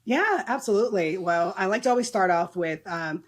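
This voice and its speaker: A woman's voice: mid-range voice, animated, brisk. A middle-aged woman from Southern California, a guest talking casually in a podcast interview, with many ums and restarts and easy laughter. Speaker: guest, nonprofit executive.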